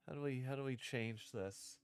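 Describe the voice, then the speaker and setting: A man casually streaming as he works on a game script, reading lines aloud and rewording them in a halting way. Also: medium pitch, clear, resonant tone, dry recording. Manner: halting, thinking out loud, repeating and rewording lines. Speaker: streamer developing own visual novel.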